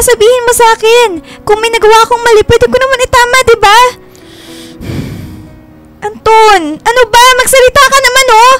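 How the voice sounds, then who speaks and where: A woman's voice: extremely high pitch, fairly bright tone. An actress playing a devoted, clingy young girlfriend in a radio drama, emotional and insistent in her pleading. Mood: shocked, pleading. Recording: music bed under the voice.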